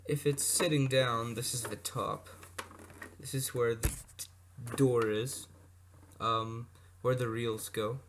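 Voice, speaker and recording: A man's voice: mid-range voice, steady tone, slow pace. Casual man rambling over a noisy recording. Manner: rambling, off-the-cuff, with asides and self-corrections. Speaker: hobbyist video presenter showing vintage electronics. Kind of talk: casual unscripted product demonstration. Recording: noisy amateur recording with clicks.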